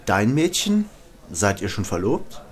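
A man playing a smooth, courteous, flirtatious newspaper reporter in an amateur German audio drama. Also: lowish voice, big pitch swings, measured pace. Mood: teasing. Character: suave, flirtatious male newspaper reporter who narrates his story. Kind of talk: German amateur audio drama, dialogue and first-person narration. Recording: clearly noisy home recording.

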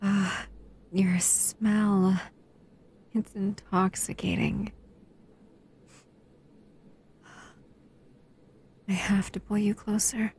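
A woman voicing a doting, seductive female shadow monster in an intimate ASMR roleplay, breathy and soothing, often whispering. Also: medium pitch, warm tone, heavily acted. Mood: intoxicated, affectionate.

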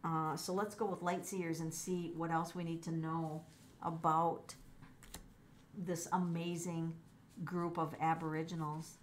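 A woman's voice: sits low, little pitch movement, measured pace, slight background noise. A middle-aged woman doing a casual tarot reading, musing aloud, exclaiming and repeating her phrases. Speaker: tarot reader.

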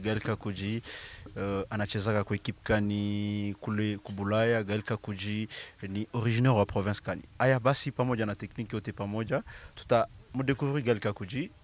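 A young Congolese-accented man hosting a sports interview, halting and repetitive in his phrasing, on a handheld mic outdoors. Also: lowish voice, flat delivery, recorded from a distance. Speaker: sports show host. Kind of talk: broadcast interview. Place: open-air football pitch. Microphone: handheld microphone.

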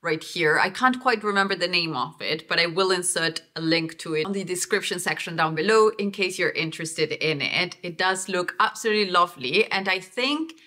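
A young woman from Uruguay, talking casually to camera in a relaxed, chatty lifestyle vlog. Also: fairly low pitch, brisk, few pauses. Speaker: lifestyle vlogger.

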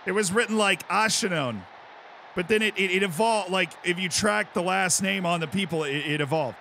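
An American man chatting on a live stream as he plays, with a fairly high voice that has a bright, sharp edge. He explains in long, animated tangents and keeps tossing in "right?" to the chat. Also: moderate pace, vocal fry, a little hiss or hum. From United States. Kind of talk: casual chat while playing.

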